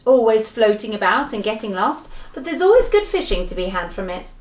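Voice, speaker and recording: Gently knowing fairy for a children's tale, acted by a woman: chatty, high, echoey. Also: very animated, fairly quick. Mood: reassuring.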